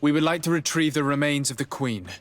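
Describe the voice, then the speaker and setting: A man's voice: fairly high pitch, fast, a bit bright, slight background noise, lightly acted. An actor playing a courteous, compassionate young nobleman and diplomat in a video game, speaking politely and sincerely. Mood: persuasive. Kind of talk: video game dialogue acting. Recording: game dialogue under prominent music.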